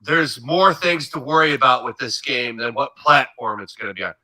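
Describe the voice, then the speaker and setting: Congested man with a bright, sharp voice. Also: medium pitch, moderate pace, poor audio. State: congested from a cold. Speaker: gaming news commentator. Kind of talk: scripted video commentary.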